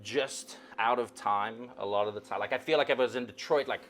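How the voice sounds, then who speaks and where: A man's voice: mid-range voice, brisk, a loud crowd. A man with a South African accent chatting casually as an interview guest, playful and rambling, often repeating words. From South Africa and Zimbabwe. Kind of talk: casual chat.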